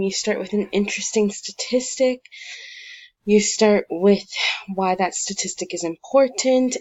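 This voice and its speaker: A woman teacher calmly going through essay feedback, encouraging but critical, with frequent fillers. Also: on the low side, muffled recording. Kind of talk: recorded one-to-one feedback on a student essay.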